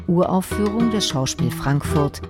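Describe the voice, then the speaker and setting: Low voiced lady reading radio credits slowly over guitar music. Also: animated. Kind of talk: scripted broadcast announcement.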